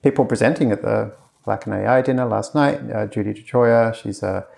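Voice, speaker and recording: A man with a heavily gravelly, creaky voice pitched on the low side. He chats casually in an interview, rambling through his points with fillers and restarts. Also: fairly quick, darkish tone. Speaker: course creator being interviewed. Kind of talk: casual conversation.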